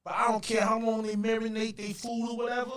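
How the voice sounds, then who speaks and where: A Southern man on a podcast, loud and playful, often breaking into shouts. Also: very high-pitched, monotone, fast talker, recorded from a distance. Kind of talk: casual podcast talk.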